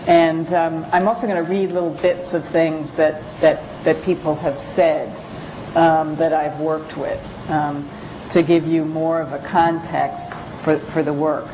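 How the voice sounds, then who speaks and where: Woman, low voice, presenting as a photographer-lecturer; hesitant, pause-filled, informal delivery over noticeable background noise. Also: flat delivery. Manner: halting, hesitant, pausing often. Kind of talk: informal slide lecture with read-out excerpts.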